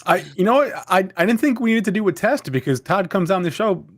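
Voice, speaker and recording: Man, hesitant stammering speech, lively up-and-down pitch, slightly high. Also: fast, no echo, studio quality. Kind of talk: casual chat.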